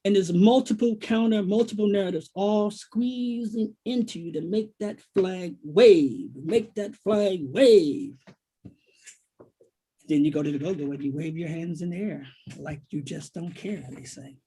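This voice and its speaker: An American man, a writer, speaking reflectively and haltingly in a literary conversation, repeating phrases for emphasis. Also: high voice, dark tone, slightly rough. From Washington, D.C., United States. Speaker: poet and writer, guest author.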